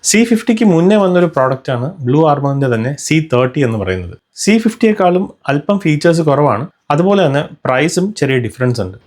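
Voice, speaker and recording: A man's voice: on the high side, very animated, fast, few pauses, a little mellow. A man with a Malayali accent narrates a product review as a vlogger, often mixing in English phrases. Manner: mixes English phrases into speech. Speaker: vlogger reviewing motorcycle gear.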